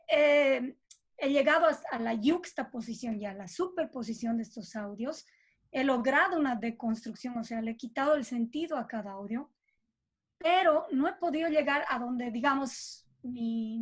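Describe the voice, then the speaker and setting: Woman, middle-aged, Bolivian accent, fairly high voice, expressive, informal interview talk, thinks aloud. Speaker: composer being interviewed.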